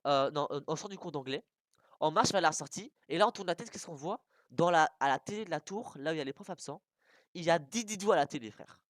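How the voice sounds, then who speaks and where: A woman's voice: low voice, expressive, fast talker, no room sound. A young woman in casual group banter over a cartoon tier list, slangy and argumentative, with plenty of swearing.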